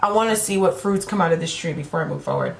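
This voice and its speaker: A woman's voice: lowish voice, fast talker, vocal fry. Tarot reader, woman, losing her voice; chatty, rambling delivery to camera; echoey room, faint background hum. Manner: rambling, repeats "right?" and "you know?" to the viewer. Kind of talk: casual spiritual tarot reading to camera.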